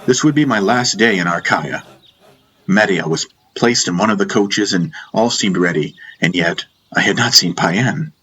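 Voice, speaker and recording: A man's voice: lowish voice, expressive, normal speed, a bit bright, husky. A man reading a first-person fantasy story as scripted audiobook narration. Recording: music bed behind narration. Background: background music.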